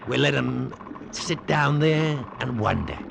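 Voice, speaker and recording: A sly ship's mate. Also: male voice, mid-range voice, expressive, slow pace, creaky, full character voice. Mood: scheming. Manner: sly, drawling, conspiratorial. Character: crafty working-class male ship's mate, sly and scheming. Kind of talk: 1940s radio acting. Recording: old radio broadcast with background hiss.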